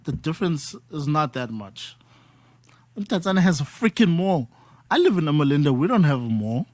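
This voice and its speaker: A man's voice: on the high side, very animated, moderate pace, a little mellow, no echo. A man in his thirties hosting a casual talk, emphatic and switching between English and isiXhosa. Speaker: podcast host. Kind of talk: casual conversation.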